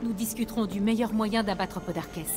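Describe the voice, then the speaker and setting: A woman's voice: medium pitch, steady tone, fairly quick, natural voice. A woman voicing a proud, shrewd rebel leader in a video game, with an earnest, firm and persuasive delivery. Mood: warmer. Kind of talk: video game voice acting in French. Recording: game dialogue over loud music.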